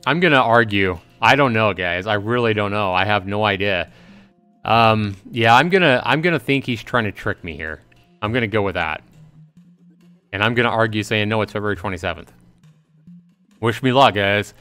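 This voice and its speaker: Low-voiced man livestreaming a game. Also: expressive, normal speed, bright, forceful tone, clean tone, soft background music. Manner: repetitive, thinking aloud, addressing chat viewers. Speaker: livestream host playing a visual novel. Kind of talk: casual live gameplay commentary.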